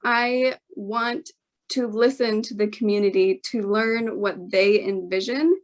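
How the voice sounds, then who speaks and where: A woman speaking as a council candidate at a neighborhood forum, earnest and often pausing mid-phrase. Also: medium pitch. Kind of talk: campaign remarks at a neighborhood forum.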